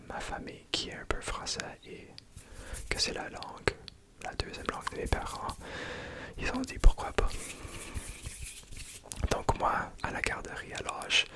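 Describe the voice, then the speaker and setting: Young Franco-Ontarian man, unhurried ASMR whisper, soft and gentle throughout. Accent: Franco-Ontarian French. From Ontario, Canada. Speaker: ASMR creator.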